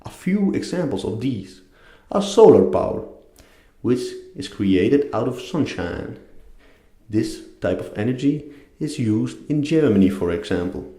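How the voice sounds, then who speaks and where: A man's voice: medium pitch, sing-song, a little slow, dark tone. A man with a non-native English accent giving a scripted educational narration. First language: not English. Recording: echoey room.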